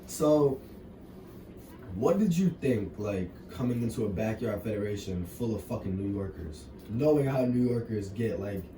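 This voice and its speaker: A young man, interviewing a fellow wrestler in a relaxed, talkative way with many fillers. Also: fairly low pitch, moderate pace. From New York. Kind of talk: casual interview. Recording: far-off echoey room with noise.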